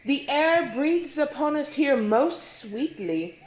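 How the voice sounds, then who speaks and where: A woman's voice: high voice, lively intonation, takes it slowly, a crowd in the background. A woman playing a gracious, hopeful noblewoman in a Shakespearean audio drama, earnest and ornate. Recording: faint noise, remote home recording.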